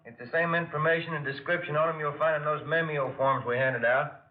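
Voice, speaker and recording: An easygoing police sergeant partner, as a man plays him in a vintage radio drama. He talks fast and loose, in a thin, phone-like broadcast sound with clear hiss. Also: a little high, fairly even intonation, natural voice. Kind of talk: 1950s radio drama acting. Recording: tinny, hissy old radio broadcast.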